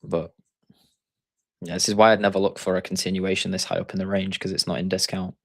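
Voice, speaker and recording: Low-voiced Yorkshire man explaining trades. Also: level tone, fairly quick, gravelly, slightly rough, no echo. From Yorkshire, England. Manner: explanatory, thinking aloud over charts. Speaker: trading coach and stream host. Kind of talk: live-stream chart commentary.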